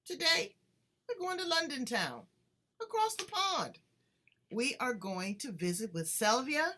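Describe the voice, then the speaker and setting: Woman, American, talk show host, animated, chatty, slightly high voice. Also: measured pace, slight background noise. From United States. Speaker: podcast host. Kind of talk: casual interview chat.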